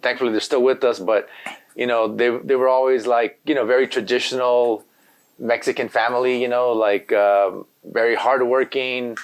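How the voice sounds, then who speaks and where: A man's voice: mid-range voice, flat delivery, normal speed, clean tone. A man from Los Angeles whose first language is Spanish, a graffiti artist guest chatting casually in an interview, rambling with lots of "you know.". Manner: laid-back, rambling, full of "you know".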